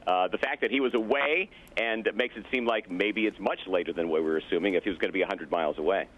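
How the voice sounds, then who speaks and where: A man's voice: sits low, fast, a bit bright, poor audio. A man, a radio morning host, chatting casually as a guest over a video call. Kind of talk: casual interview chat.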